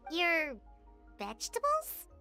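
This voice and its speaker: A woman voicing a tiny, excitable, blunt childlike companion creature in a video game, with a squeaky voice. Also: slow pace, full character voice. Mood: incredulous. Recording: music behind the voice.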